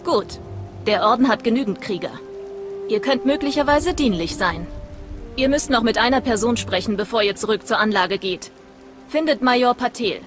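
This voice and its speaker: A woman's voice: fairly high pitch, normal speed, over music, natural voice. A woman playing a proud, honour-bound mercenary commander in a video game, firm and formal. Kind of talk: video game cutscene acting.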